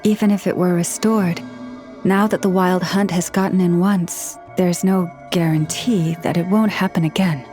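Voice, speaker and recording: An actress voicing a calm, earnest young woman leader who speaks in a quiet, measured, solemn way. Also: fairly low pitch, soft background music, lightly acted. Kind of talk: video game dub acting.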